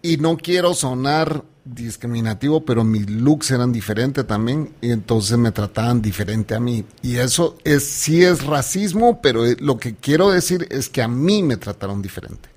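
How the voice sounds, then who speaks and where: A man's voice: medium pitch, animated, moderate pace, few pauses, a little mellow. A middle-aged Guatemalan man co-hosting a podcast, chatting casually, swearing freely and dropping English words. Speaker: podcast co-host. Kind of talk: casual chat.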